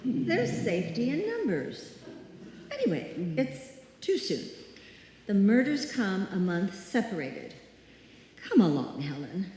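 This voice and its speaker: A woman acting a bossy, unflappable lady in a radio suspense play. Her voice is mellow but firm and dismissive, ringing heavily through a live hall. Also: mid-range voice, wildly swooping pitch, slow, a little hiss or hum, full character voice. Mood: firm, insistent. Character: brisk, stubborn woman refusing to be frightened. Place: live stage performance in a hall.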